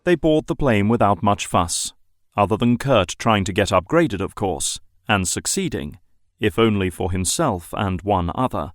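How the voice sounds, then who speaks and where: A man's voice: fairly low pitch, expressive, a little slow, no room sound, clean studio sound. A man reading scripted narration for an audio drama.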